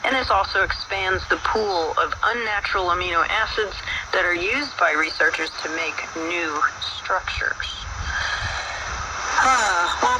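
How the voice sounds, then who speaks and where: A man hosting a radio talk, explaining informally and reading aloud in a halting, folksy way, heard over shortwave radio. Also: sits high. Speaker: radio show host. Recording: very noisy shortwave radio broadcast, distant.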